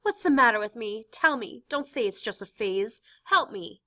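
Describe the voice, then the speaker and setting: A woman's voice: a little high, animated, moderate pace, sharp tone, pitched above the performer's own voice. A woman reading a stage play aloud and voicing an anxious, intense teenage girl in hiding, with emotional, pleading delivery. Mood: confessional.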